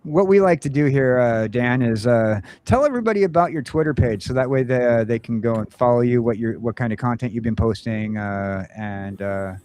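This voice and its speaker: A man's voice: medium pitch, fairly quick, few pauses, darkish tone, clear, resonant tone. Rambling male morning show host. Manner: rambling with many fillers. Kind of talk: casual morning show chat.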